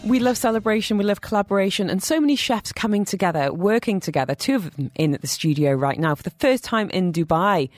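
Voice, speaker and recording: A woman's voice: mid-range voice, lively intonation, a little fast, few pauses, dry recording, soft background music. A woman hosting a radio food show, interviewing guests in an enthusiastic, chatty way in a studio.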